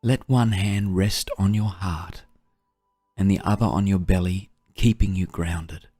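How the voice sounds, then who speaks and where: A man guiding a meditation in a soft, breathy, soothing voice, gently and unhurriedly, with long pauses. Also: low-pitched, fairly even intonation, no room sound, clean studio sound, faint music behind. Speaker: meditation guide. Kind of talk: guided meditation narration.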